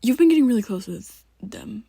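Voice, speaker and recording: A woman in an ASMR roleplay, talking to the listener as a worried best friend, halting and rambling, often sinking into a soft whisper close to the mic. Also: on the high side, expressive, vocal fry, slightly rough, no echo. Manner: hesitant and rambling, halting restarts.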